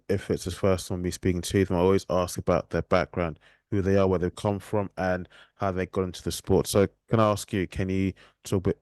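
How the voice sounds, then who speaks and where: A man hosting a podcast, asking interview questions in a relaxed, halting way. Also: deep voice, dry recording. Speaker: podcast host.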